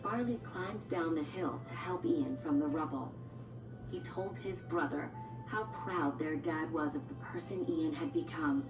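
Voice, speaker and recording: A woman's voice: sits low, animated, unhurried. A woman narrating a scripted children's storybook as a read-along storyteller. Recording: echoey, distant, music bed. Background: music and sound effects.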